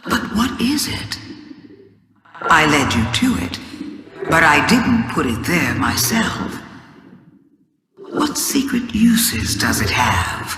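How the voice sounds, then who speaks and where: A woman playing an ancient, cunning alien witch queen in a theatrical video game monologue, sly, deliberate, taunting and grandiose. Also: deep voice, very animated, a bit bright, faint music behind, heavily acted. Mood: probing, mysterious. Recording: echoey voice with long cavernous reverb.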